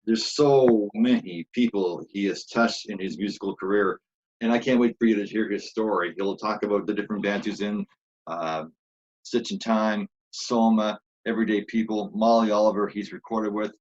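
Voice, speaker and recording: A man's voice: on the low side, steady tone, moderate pace, dull audio. A man co-hosting a music talk show, chatting casually as he introduces and questions a guest.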